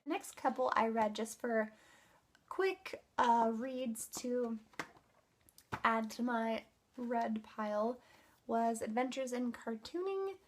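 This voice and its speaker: High-voiced woman chatting about books. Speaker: book vlogger. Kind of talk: casual to-camera book review chat.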